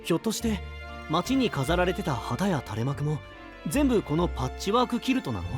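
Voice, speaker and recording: Lightly high, kindly young knight. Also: male voice, sing-song, normal speed, over music. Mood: curious. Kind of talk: Japanese anime audio drama acting.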